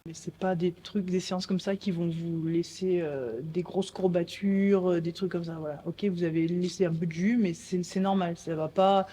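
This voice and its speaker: A man's voice: sits high, flat delivery, normal speed, few pauses, warm tone, breathy edge. A man coaching handball players, directly explaining training to the group. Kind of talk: giving instructions to players.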